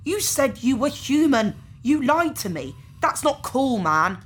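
A woman's voice: sits high, big pitch swings, measured pace, husky, exaggerated voice. A woman playing a casual, apologetic organ harvester in a science fiction audio drama, talking in an awkward, nonchalant way. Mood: baffled, indignant. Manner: nonchalant, hesitant, politely awkward.

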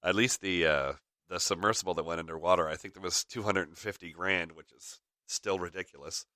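Deep, bright-toned man, slightly raspy. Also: a little fast, no echo, studio quality. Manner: rambling, frequent fillers, chuckles. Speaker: podcast host. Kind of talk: casual rambling chat.

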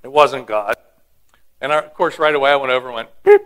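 A man's voice: mid-range voice, fast talker, fairly bright tone, low-quality recording. A man preaching a sermon, telling stories and putting questions to the congregation. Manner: storytelling, asks the congregation questions. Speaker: pastor.

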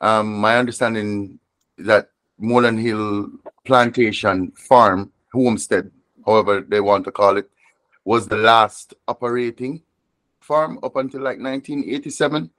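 A man's voice: on the low side, fairly even intonation, measured pace, fairly bright tone, no echo. A Jamaican man, a reggae artist, talking reflectively in an interview, often restarting and repeating words as he gathers his thoughts. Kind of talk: conversational interview.

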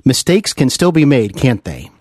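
A man's voice: medium pitch, big pitch swings, normal speed, a little mellow, husky, no room sound, clean studio sound. A man hosting a conversational interview, speaking in an enthusiastic, emphatic way with frequent tag questions. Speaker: interviewer, show host. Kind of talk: conversational radio-style interview.

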